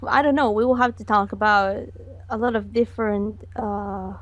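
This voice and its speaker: A young woman giving a casual interview over a voice call as an animator. She talks in halting bursts and laughs often. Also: medium pitch, fairly even intonation, a bit bright, no echo, muffled recording. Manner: giggly, halting, speaks in bursts. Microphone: computer mic on a voice call.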